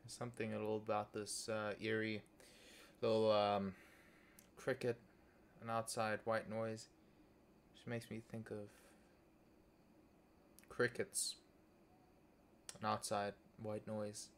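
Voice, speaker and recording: A man's voice: on the low side, monotone, slow, long pauses, a little hiss or hum. Joking young man on a game voice call. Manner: joking, mock-dramatic musing. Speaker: gaming video creator. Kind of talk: casual chat over voice call.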